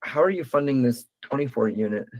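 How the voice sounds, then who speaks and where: A mid-pitched man co-hosting a livestream. He speaks in a relaxed, questioning way with small stutters, and the sound is dry and close. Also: brisk, no echo. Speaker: co-host of lending livestream. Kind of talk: casual livestream chat.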